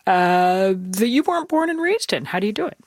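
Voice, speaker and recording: Gravelly-voiced interviewer in a dry studio. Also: medium pitch, big pitch swings, dry recording. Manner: measured, reads quotes aloud, curious questions. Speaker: interviewer host. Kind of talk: radio interview.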